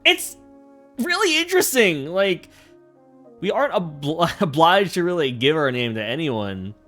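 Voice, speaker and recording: A man casually commenting on a game he is streaming, laughing often and poking fun at himself. His pitch swings widely as he talks, with a faint bed of music behind him. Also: measured pace. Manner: animated, laughing and self-deprecating.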